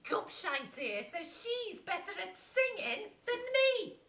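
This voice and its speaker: Brash, foul-mouthed Constance. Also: female voice, very high voice, very animated, takes it slowly, exaggerated voice. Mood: boastful. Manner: brash, crude, shouting. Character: coarse foul-mouthed bossy woman, quarrelsome sister. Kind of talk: live sitcom table-read. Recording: roomy live theatre sound.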